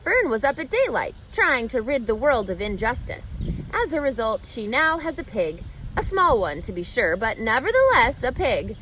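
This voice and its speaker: A gentle, patient farmer father from a storybook, speaking in a measured, kindly way, as a woman reads him aloud. The voice stays fairly high and lively in pitch. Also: a little hiss or hum, poor audio.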